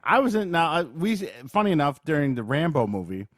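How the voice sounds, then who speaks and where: A man's voice: a little high, very animated, brisk, dry recording, clean studio sound. Casual man chatting about movies. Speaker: podcast co-host. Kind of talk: casual chat, movie review.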